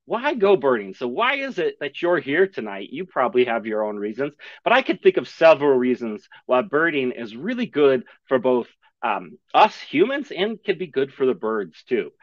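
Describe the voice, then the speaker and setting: A man's voice: mid-range voice, sing-song, moderate pace, dry recording. A young man teaching an online birding class over slides, chatty and enthusiastic, with rhetorical questions. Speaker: birding instructor. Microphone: webcam video call. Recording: dull lo-fi video call audio.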